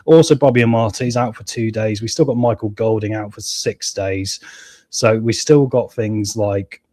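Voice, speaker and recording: Hoarse man with a cold, low mellow voice. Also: normal speed, dry recording. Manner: chatty, keeps addressing viewers as guys and mate. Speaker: gaming channel host. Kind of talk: casual game commentary reading live chat.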